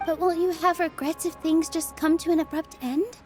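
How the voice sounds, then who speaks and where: Gentle polite young game heroine, really high pitched, soft music behind. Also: female voice, steady tone, moderate pace. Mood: worried. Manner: polite, soft-hearted, earnest. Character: gentle, polite, earnest young female theater dancer. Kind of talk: video game voice acting.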